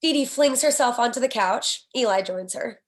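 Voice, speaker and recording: A woman's voice: fairly high pitch, moderate pace. A woman reading scripted screenplay stage directions as narrator at a table read.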